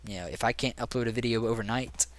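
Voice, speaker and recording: A young man with a raspy voice and sore throat, casually rambling through gaming commentary with many ums. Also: mid-range voice, quick pace. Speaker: gaming channel host. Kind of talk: casual let's play commentary.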